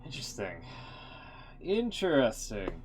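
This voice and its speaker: A man livestreaming a game, giving casual, off-the-cuff and playful commentary in a halting way. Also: lowish voice, wildly swooping pitch, faint music behind. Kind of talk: casual live commentary and reactions.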